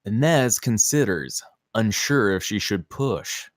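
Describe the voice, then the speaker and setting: A man's voice: fairly low pitch, lively intonation, slow pace, dry recording, studio quality. A man narrating the stage directions of a screenplay at a table read.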